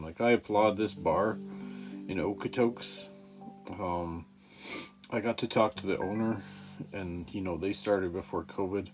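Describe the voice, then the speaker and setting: A man chatting casually in a halting, rambling, profane way. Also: deep voice. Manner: halting, profane, frequent throat-clearing. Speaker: amateur stand-up comedian. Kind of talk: rambling casual vlog chat. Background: guitar music.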